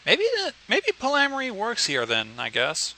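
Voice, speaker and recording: A man's voice: high-pitched, huge pitch swings, moderate pace, bright, forceful tone, slight background noise. A man co-hosting a livestream, bantering casually in a blunt, sweary way. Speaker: livestream co-host commenting on a story.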